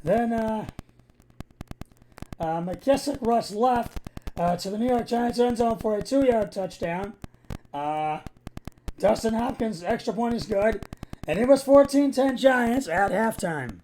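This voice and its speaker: Male amateur sports host, raspy mid-high voice, halting and stumbling delivery, noticeable background noise. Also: lively intonation, warm tone, poor audio. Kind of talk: amateur football game recap.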